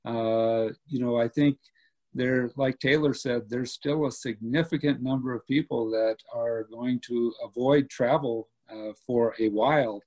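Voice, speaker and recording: A man in his fifties, an airport director, answering questions over a video call in a steady, measured way with many pauses and "uh"s. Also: mid-range voice. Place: home room indoors. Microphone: laptop webcam on video call.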